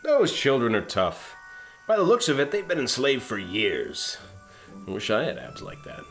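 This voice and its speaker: A pompous starship captain , played by a man, with a mid-pitched voice that swings widely up and down. He is sarcastic, dismissive and easily exasperated, in comic radio play style. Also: huge pitch swings, normal speed, a bit bright, soft background music. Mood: smug.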